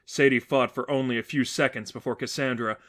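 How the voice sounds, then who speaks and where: A male narrator reads dramatic fiction in a mid-range voice. His delivery is measured and earnest, keeping up suspense as he moves through scripted prose. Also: medium pitch, fairly even intonation, fairly bright tone. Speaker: narrator reading fan fiction.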